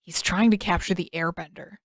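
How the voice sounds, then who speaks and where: A woman's voice: lowish voice, fairly even intonation, brisk, no echo. A woman voicing a proud, hot-tempered teenage prince in an audio story, curt and defensive. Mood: angry, defensive.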